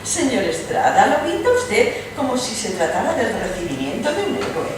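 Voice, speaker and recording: Woman acting a lively, ironic princess, distant and echoey. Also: medium pitch, moderate pace, close to an everyday voice. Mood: amused, teasing. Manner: theatrical, playfully ironic storytelling. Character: lively gossipy princess, Russian ambassador's wife, mocking. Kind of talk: dramatized radio-play reading. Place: live stage reading in a hall. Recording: distant roomy live recording, clear noise.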